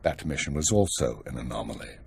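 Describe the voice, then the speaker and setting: An actor playing a stern, weary older spymaster in a video game, giving orders in a measured, authoritative way. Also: male voice, extremely low pitch, expressive, darkish tone, slight background noise. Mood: brisk, businesslike. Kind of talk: video game cutscene acting.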